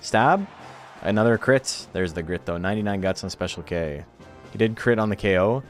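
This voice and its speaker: Low-voiced man casually commentating a game. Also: expressive, moderate pace, soft background music. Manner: laid-back, wry, teasing chat. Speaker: streamer and tournament commentator.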